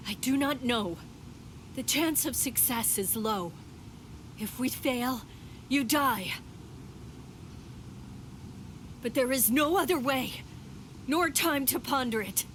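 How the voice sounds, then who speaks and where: A composed, proud warrior woman from a video game, with a fairly high voice and very unhurried, stately phrasing. She sounds grave and formal, over clearly noisy surroundings. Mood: grave resolve. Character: solemn, composed female Soul Reaper warrior, proud and grave. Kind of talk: dramatic English video game voice acting.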